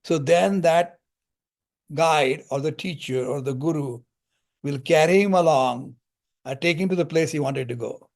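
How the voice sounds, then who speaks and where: Older male lecturer with a slightly high voice, calm and parable-driven, teaching spiritual texts. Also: unhurried. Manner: calm, storytelling explanations with Sanskrit terms.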